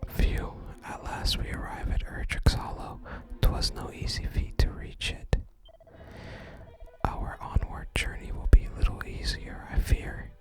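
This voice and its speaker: A person whispering in a soft, breathy, unhurried ASMR style while narrating a video game and reading its text aloud. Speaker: ASMR gaming video host.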